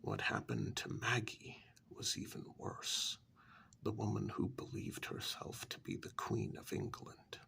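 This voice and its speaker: A man playing a formal, compassionate asylum staffer in a soft-spoken ASMR story, speaking in a breathy, creaky half-whisper with measured, deliberate pauses. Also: on the low side, big pitch swings, slight background noise, heavily acted. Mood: pitying.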